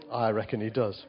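A man preaching a sermon to a congregation, his voice a little croaky from a cold, chatty and asking the people questions as he reads scripture. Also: medium pitch. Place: church service before a congregation. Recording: dull lo-fi recording.

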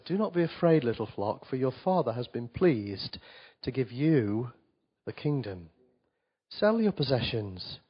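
Slightly croaky preacher. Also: male voice, mid-range voice. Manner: chatty, asks the congregation questions, reads scripture aloud. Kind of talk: conversational sermon to a congregation. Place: church service before a congregation. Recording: dull lo-fi recording.

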